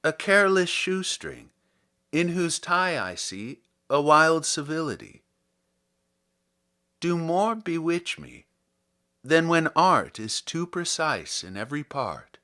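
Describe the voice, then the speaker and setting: A man reading classic poetry aloud in a theatrical, deliberate and expressive manner, as a podcast host. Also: a little high, clean tone. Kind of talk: dramatic poetry recitation.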